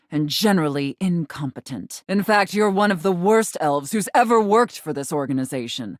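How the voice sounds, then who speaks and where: Stern, low-voiced, domineering Santa boss. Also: female voice, no echo, clean studio sound. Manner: imperious, scolding, haughty, deliberately enunciated. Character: stern, domineering woman playing a demanding Santa Claus boss. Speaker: video game voice actor. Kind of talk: theatrical video game voice acting.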